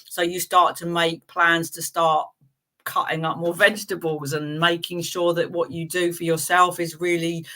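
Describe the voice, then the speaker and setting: A woman's voice: fairly low pitch, level tone, moderate pace, sharp tone. A woman hosting a live tarot reading stream, chatting in a friendly way with viewers and reading cards for them, with frequent fillers and laughs.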